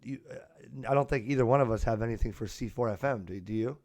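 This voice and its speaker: A man with a mid-pitched voice chats casually as a podcast host. He sounds unscripted and conversational, recorded close on a podcast mic with very little room sound. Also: fast talker, a little mellow, dry recording. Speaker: podcast host and amateur radio hobbyist. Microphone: close USB podcast microphone.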